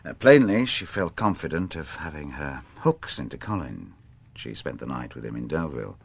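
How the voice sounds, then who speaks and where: Radio drama inspector: very deep register, shrewd and tactful, unhurried questioning, courteous hesitations. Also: male voice, big pitch swings, gravelly, breathy edge, dry recording, full character voice. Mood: musing, probing. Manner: measured, probing, politely hesitant. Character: shrewd, patient, discreet male police inspector questioning a suspect. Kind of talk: radio drama acting, interrogation dialogue.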